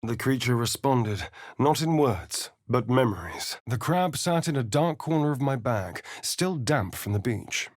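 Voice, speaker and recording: A man's voice: mid-range voice, animated, unhurried, a little mellow, no echo, clean studio sound. An actor voicing a sly, vain, sardonic male vampire spawn in a video game, with an arch, theatrical drawl. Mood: measured narration.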